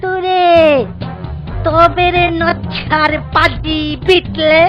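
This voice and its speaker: A woman voicing an excitable, scheming male village grocer in a cartoon comedy, blustering, stammering and repeating words. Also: very high voice, drawn-out words, dull audio, full character voice. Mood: outraged. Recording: background music under the voice.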